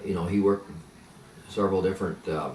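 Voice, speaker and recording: Old guy, pennsylvania dutch first language, real deep voice, lots of uh and um, roomy echo, mic kinda far off. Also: fairly even intonation, darkish tone. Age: older. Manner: halting, many fillers and restarts. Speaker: interviewee. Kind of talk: reminiscing in conversation. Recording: echoey room, mic at a distance, faint noise.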